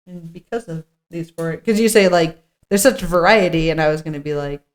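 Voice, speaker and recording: A woman's voice: sits low, brisk. A chatty, giggly woman co-hosting a podcast, moving between reading a script and casual banter. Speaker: podcast co-host. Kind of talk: casual table read with banter.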